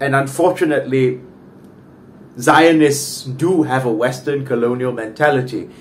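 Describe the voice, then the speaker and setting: A man's voice: medium pitch, a little hiss or hum. A man from Malaysia, giving an earnest political opinion monologue and tagging points with "right?". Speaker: political commentator.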